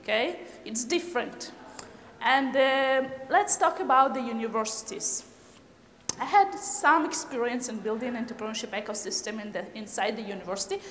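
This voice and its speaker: A woman's voice: fairly high pitch, animated, normal speed, a bit bright. A middle-aged woman from Kyrgyzstan giving a conference talk, hesitant with many fillers and non-native phrasing, recorded in a large lecture hall. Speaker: conference speaker. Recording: echoey hall with reverb, faint noise.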